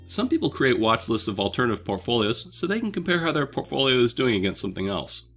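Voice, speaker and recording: A man's voice: medium pitch, big pitch swings, fast, a bit bright, faint music behind. A man hosting a dividend investing channel, reading a scripted, conversational explainer while slightly under the weather. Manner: upbeat, conversational, explaining step by step. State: slightly sick, voice a bit off.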